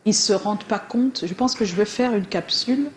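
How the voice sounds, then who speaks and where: A woman hosting a talk show, animated and laughing as she prompts her guest. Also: mid-range voice, moderate pace, slight background noise. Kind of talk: lively studio chat.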